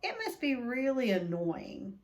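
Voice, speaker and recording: A woman voicing a curious, gentle young boy in a storybook, asking friendly questions. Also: a little high, sing-song, unhurried, pitched above the performer's own voice. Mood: curious, sympathetic.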